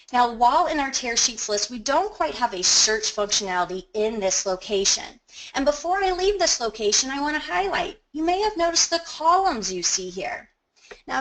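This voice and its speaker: A woman presenting a software training webinar, explaining each step in a conversational, instructional way and stressing key words. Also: a little high, low-quality recording. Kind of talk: instructional screen-share walkthrough.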